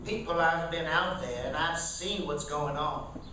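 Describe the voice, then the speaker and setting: A man's voice: a little high, measured pace, over music, close to an everyday voice. A man playing a zealous frontier agitator in a western radio play, making an emphatic speech to rouse settlers. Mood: urgent, rousing. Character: zealous rabble-rousing frontier man stirring settlers to arms. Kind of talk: western radio theater acting. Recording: echoey room, distant mic, faint noise.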